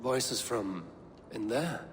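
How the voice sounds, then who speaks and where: Proud, solemn Norse god. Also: male voice, mid-range voice, expressive, takes it slowly, a little hiss or hum. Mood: quiet, curious. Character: proud battle-worn male Norse god, solemn and guarded. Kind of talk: dramatic game cutscene acting.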